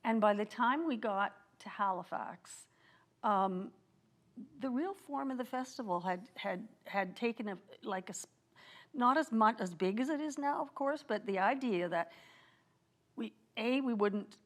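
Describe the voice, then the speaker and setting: A middle-aged woman, a festival co-founder, calmly recalling and explaining in conversation. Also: fairly high pitch, a little slow. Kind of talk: conversational recollection.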